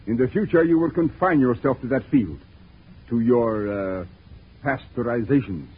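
An imperious emperor in an old radio play. His voice is very rough and husky, his manner formal, stern and quick to anger. The recording is thin and phone-like, as from 1940s radio. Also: medium pitch. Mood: stern command. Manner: commanding, formal, haughty. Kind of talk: 1940s radio drama acting.

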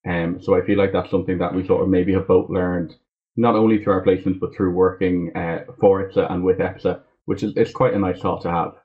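A man's voice: low-pitched, fairly quick. A young Irish man, a pharmacy student, chatting casually as a guest over a video call. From Ireland. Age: young adult. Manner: relaxed, rambling with fillers. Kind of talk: casual interview chat. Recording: muffled phone-like call audio.

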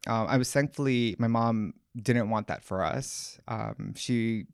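A man's voice: mid-range voice, measured pace, creaky, smooth, no echo, studio quality. A man from Hawaii, a podcast guest telling his life story in a candid, reflective way. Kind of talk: personal storytelling interview.

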